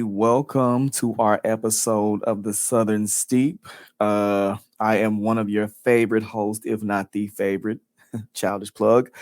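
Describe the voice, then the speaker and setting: A playful male podcast co-host who chats loosely and fills his talk with ums and likes. His voice sits a little low, and the recording is dry and close with no room sound. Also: dry recording. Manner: playful, chatty, many fillers. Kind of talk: casual podcast chat.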